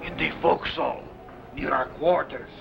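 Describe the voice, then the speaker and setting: An actor playing a gruff, obedient Spanish sailor with a gravelly voice, terse and theatrical, in an old adventure serial. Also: male voice, fairly high pitch, moderate pace, noisy background. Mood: puzzled. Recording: muffled old soundtrack with music behind.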